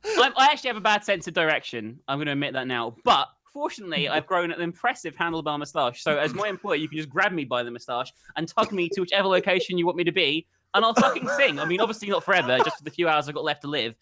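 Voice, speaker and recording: A woman improvising a brash, cheerfully profane job pitch on a noisy recording. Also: expressive, fast, no echo. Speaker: player pitching herself as a job applicant. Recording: loud background noise with clicks.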